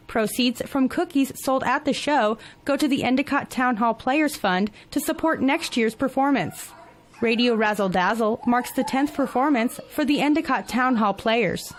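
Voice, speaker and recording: A woman news reporter reading scripted local news stories in a measured, even delivery. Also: fairly high pitch, a little hiss or hum.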